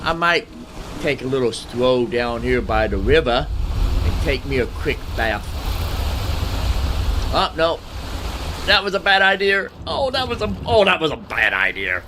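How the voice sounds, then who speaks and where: A man's voice: mid-range voice, big pitch swings, unhurried, long pauses, pushed and bright, slight background noise. A man giving casual let's-play commentary, thinking aloud and repeating himself as he goes. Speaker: gamer, host of a roleplay series.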